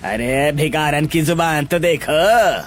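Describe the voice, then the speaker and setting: A man's voice: on the high side, slow. A man voicing a rude, bullying temple-goer in a cartoon story, harsh and mocking. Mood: contemptuous. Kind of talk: Hindi cartoon story acting.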